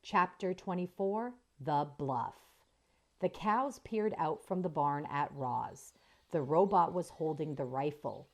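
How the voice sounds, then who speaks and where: A woman reading a children's chapter book aloud as the channel's narrator, in slow, expressive storytelling. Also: low-pitched.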